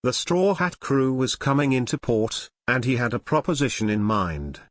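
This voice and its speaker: A man's voice: medium pitch, animated, normal speed, no room sound. A man reading scripted narration for an audio drama.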